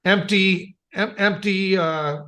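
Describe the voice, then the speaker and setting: High-voiced, halting middle-aged American man. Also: steady tone, pushed and bright. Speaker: podcast co-host. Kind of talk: casual chat.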